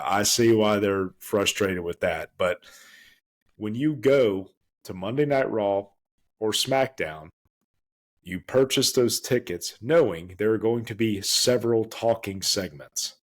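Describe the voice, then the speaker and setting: A man's voice: lively intonation, measured pace. A man co-hosting a podcast in casual chat, emphatic and stumbling over restarts as he rants. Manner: emphatic, full of restarts and stammers; swings up high when worked up. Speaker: podcast co-host.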